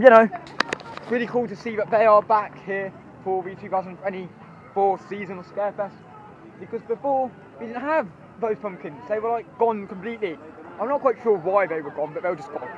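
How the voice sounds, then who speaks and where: A hoarse young man, chatty and rambling as he walks through a theme park with his phone. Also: high voice, fairly quick, muffled recording, a little hiss or hum. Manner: chatty, rambling, excitable, many ums. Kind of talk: walking vlog commentary.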